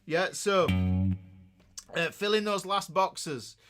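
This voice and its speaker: Guy with a highish voice explaining guitar stuff casually, guitar loud behind him. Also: slow pace. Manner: conversational, checks in with listeners. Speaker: guitar teacher. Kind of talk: informal instructional talk with demonstrations.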